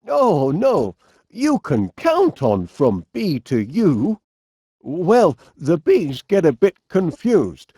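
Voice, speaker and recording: A genial, bumbling middle-aged English inventor for a comedy video game. He has a Northern English accent and takes his time over his words, with pitch that swoops about. He is eager yet easily flustered and hesitant, recorded in a very dry studio sound. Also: a little mellow, no room sound, heavily acted. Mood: sheepish, reassuring. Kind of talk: animated comedy game voice acting.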